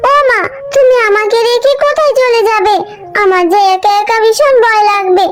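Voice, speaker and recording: A very high, childlike cartoon daughter, acted by a woman, anxious and devoted to her mother. She pleads earnestly, and music plays behind her. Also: normal speed. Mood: fearful, pleading. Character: anxious, affectionate little girl animal, a devoted daughter. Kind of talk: Bengali cartoon fairy-tale dialogue.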